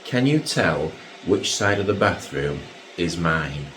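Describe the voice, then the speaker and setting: A man's voice: deep voice, expressive, slow, a bit bright, a little hiss or hum, poor audio. A man chatting casually in a travel vlog, joking about himself and laughing often. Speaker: travel vlogger. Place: hotel room and outdoors.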